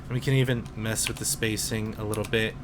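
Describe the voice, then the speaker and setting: A man in his twenties, a teacher, calmly explaining programming in a casual screen-recorded tutorial with some fillers. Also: lowish voice, background noise. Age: 20s. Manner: slow, relaxed explaining with fillers.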